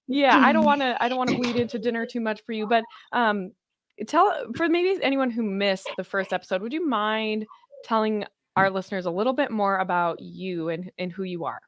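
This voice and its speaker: A woman's voice: medium pitch, fairly quick, no echo, studio quality. A woman hosting a podcast in a conversational interview style. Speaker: podcast host.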